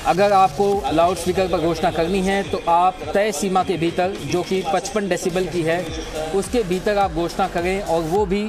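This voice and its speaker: A man reading a voice-over translation of a political statement with deliberate, emphatic phrasing. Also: high voice, steady tone, music in the background.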